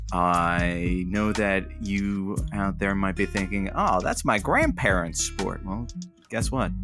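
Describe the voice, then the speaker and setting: A playful radio station announcer in an audio drama. The man's voice is low, his delivery showy and teasing, and music plays behind him. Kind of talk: radio promo and comic banter.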